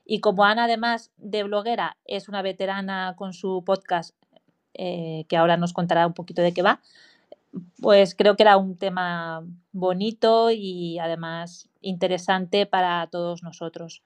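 A woman's voice: fairly low pitch, little pitch movement, moderate pace, clear, resonant tone. A woman hosting a live audio chat room, introducing her guest and inviting listeners, with many restarts and repeated words.

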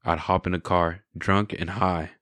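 Deep-voiced young man telling his story. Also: flat delivery, a little slow, a bit bright, no echo, studio quality. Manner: candid, reflective, often adds "right?" and "you know". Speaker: guest sharing his testimony. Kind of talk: casual conversational storytelling.

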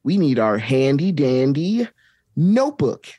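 A woman's voice: extremely low pitch, very animated, unhurried, warm tone, slightly rough, no echo. A woman reading the part of an upbeat children's show host in a comedic table read, with a chipper, sing-song delivery.

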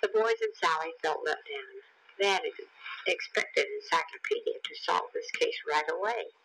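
A man's voice: on the high side, huge pitch swings, moderate pace, creaky, sharp tone, rough recording. A man reads a children's story aloud as scripted audiobook narration, over faint background noise. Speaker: audiobook reader.

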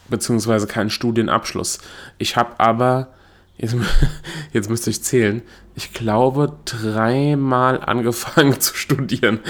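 A young adult man from Germany hosting his own solo podcast, talking in a chatty, self-correcting way and laughing at himself. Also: fairly low pitch. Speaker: podcast host. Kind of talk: casual solo podcast monologue.

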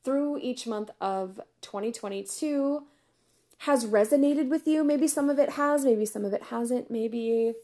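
A woman talking casually to her podcast listeners in a fairly high voice. Her voice is going hoarse, and she keeps it chatty and upbeat, laughing often. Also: measured pace, warm tone. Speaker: podcast host. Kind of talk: casual solo podcast chat.

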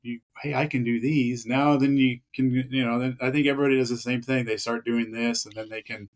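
A man's voice: mid-range voice, fairly even intonation, brisk. A man chatting as a podcast guest, rambling with many fillers and restarts. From Pacific Northwest of the US. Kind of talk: casual interview chat.

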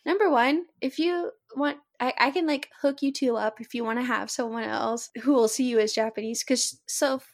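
Woman, American accent, podcast host, earnest, casual chat, slightly high voice. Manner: earnest, talks in quick bursts with filler "like".

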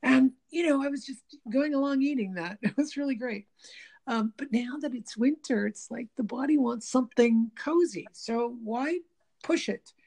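Woman, kinda nasal, gravelly, rambly casual chat. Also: sits high, normal speed, darkish tone. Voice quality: slightly nasal. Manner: rambling, many restarts and "you know"s. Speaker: guest talking about diet and the body. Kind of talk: casual conversation.

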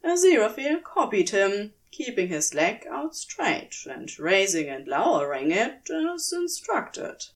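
A woman narrates scripted fiction in a medium-pitched voice. Her reading is slow and measured, yet the melody is lively and varied as she tells the story.

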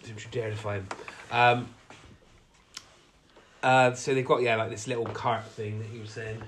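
A man's voice: medium pitch, flat delivery, normal speed, fairly bright tone, a little hiss or hum. A man with a blocked-up nose from a cold, casually recapping a film plot with many fillers. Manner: recounts plot with many fillers, acts out quotes. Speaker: podcast co-host.

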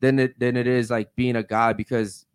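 A man's voice: level tone, moderate pace, clear, resonant tone, no echo, studio quality. A man hosting a podcast with casual, slangy banter, peppering his talk with bro and man. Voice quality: deep voice. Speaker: podcast host.

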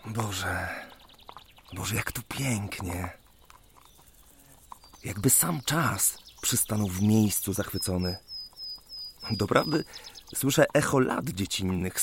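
A man's voice: fairly low pitch, lively intonation, takes it slowly, long pauses, a little hiss or hum. A man playing a dreamy, sentimental surveyor of the 19th century in a radio play, musing aloud in a rapturous, poetic way. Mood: awed. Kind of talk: theatrical radio play monologue.

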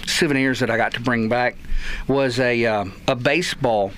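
Texan man telling animated stories. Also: mid-range voice, moderate pace, clear, resonant tone. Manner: animated storyteller, acts out quotes. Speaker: guest, youth baseball parent. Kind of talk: casual radio chat, storytelling.

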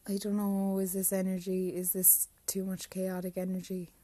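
Irish woman, twenties, casual podcast host; flat, rambling, sweary. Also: mid-range voice, normal speed, no room sound. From Ireland. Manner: rambling, swears freely, talks to herself. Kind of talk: casual solo podcast chat.

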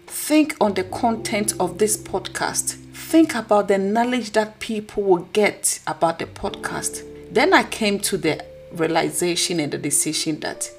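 Husky-voiced woman giving an earnest pep talk. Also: medium pitch, unhurried, hardly any pauses, faint music behind. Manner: earnest, preachy, encouraging. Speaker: podcast host. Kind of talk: motivational podcast monologue.

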